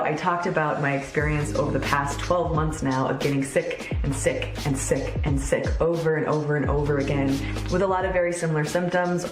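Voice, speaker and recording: A woman's voice: lowish voice, echoey room. A woman in her thirties, a sometimes congested vlog host, talking candidly and enthusiastically in a casual chat. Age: 30s. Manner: chatty, candid, warmly enthusiastic. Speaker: vlogger host.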